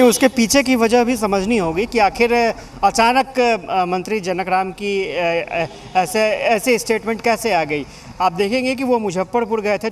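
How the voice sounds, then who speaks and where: A man's voice: high voice, a little hiss or hum. A man reporting live for television news, explaining at length with frequent hesitations.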